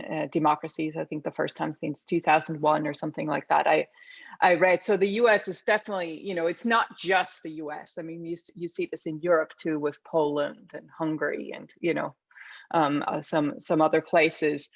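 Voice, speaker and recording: A woman's voice: deep voice, level tone, normal speed, vocal fry, no echo, muffled recording. A woman from Switzerland answering as a panelist in an online discussion, hesitant and full of fillers and tag questions. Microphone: video call.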